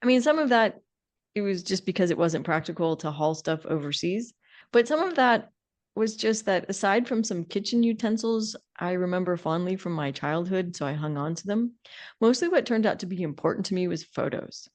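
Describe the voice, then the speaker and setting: A woman's voice: mid-range voice, animated, normal speed, darkish tone. A middle-aged woman co-hosting a conversational podcast, talking in an opinionated, emphatic way. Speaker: podcast co-host.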